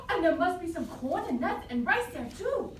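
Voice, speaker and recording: A cheerful, reassuring little animal from a puppet show, played by a woman, eager and excitable. The delivery is slow and very lively, heard from across an echoey room. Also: very high voice, heavily acted. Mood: excited. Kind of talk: live puppet show acting. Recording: distant roomy live recording, faint noise.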